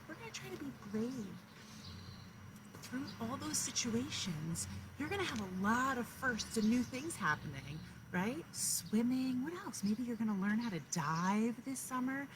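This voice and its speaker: A woman kindergarten teacher reading a story aloud outdoors and talking encouragingly to children, often asking them questions. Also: high voice. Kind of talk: children's read-aloud with asides to young listeners. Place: outdoors in a backyard. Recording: clear outdoor background noise. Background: outdoor noise.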